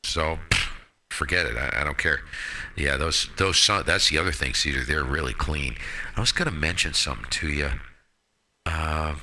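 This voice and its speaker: A man's voice: very deep voice, normal speed, pushed and bright. A man chatting casually about his radio hobby in a blunt, opinionated way, with mild folksy exclamations, speaking into a headset mic. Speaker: ham radio operator hosting a live stream.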